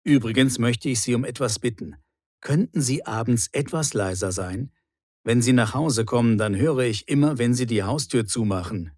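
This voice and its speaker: A man playing a particular, reproachful neighbour in a clearly articulated language-lesson dialogue. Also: mid-range voice, moderate pace, a little mellow, no echo, clean studio sound, lightly acted. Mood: firm, reproachful. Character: grumbling male apartment neighbour, particular and reproachful.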